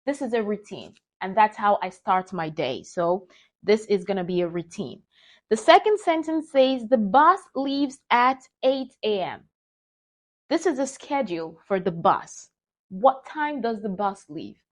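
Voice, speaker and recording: A young adult woman whose first language is Yoruba, teaching a beginner English grammar lesson slowly and carefully. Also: medium pitch, big pitch swings. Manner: slow, careful, reads example sentences deliberately.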